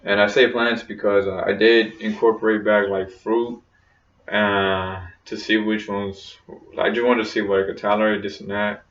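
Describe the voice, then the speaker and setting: A man's voice: fairly low pitch, level tone, moderate pace, a bit bright. A young man talking casually in a personal vlog, rambling with lots of fillers and restarts. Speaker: vlogger. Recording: roomy, distant, dull lo-fi sound.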